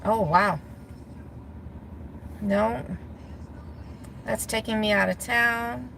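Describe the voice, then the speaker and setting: A woman from Guam chatting casually to viewers from her car, thinking out loud and laughing easily. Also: fairly high pitch, fairly even intonation, extremely slow, vocal fry, slight background noise. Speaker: gig delivery driver vlogger. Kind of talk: casual talking to viewers while driving. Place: inside a parked car.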